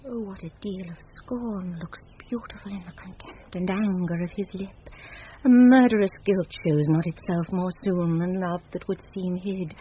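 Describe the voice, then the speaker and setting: A woman's voice: lowish voice, moderate pace, natural voice. A British actress playing a proud, lovesick noblewoman, stately and yearning, in a classic radio Shakespeare play. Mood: lovestruck. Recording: dull, noisy vintage radio recording.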